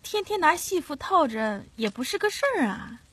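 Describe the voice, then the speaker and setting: A woman's voice: sits high, big pitch swings, normal speed, fairly bright tone, no room sound. A woman voicing a deferential young mother and daughter-in-law in an audiobook, coaxing and pleading as she talks. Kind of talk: audiobook character acting.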